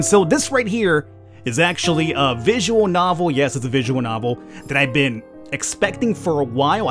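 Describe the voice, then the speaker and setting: A man gives casual let's play commentary as a gaming host. His medium-pitched voice is enthusiastic and informal. Faint music plays behind him. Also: fairly bright tone.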